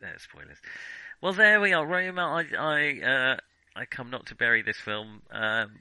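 A man with a very bright, sharp voice, recorded close and dry. He talks casually as a film podcast co-host, hedging his opinions and often stopping to rephrase them. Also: medium pitch, animated, measured pace, clear, resonant tone, no room sound, rough recording. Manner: hesitant, hedging, self-correcting.

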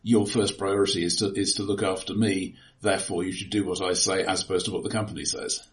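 A man's voice: low voice, flat delivery, fast talker. A man co-hosting a conversational film discussion, explaining technical points analytically and often restarting his words. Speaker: podcast co-host.

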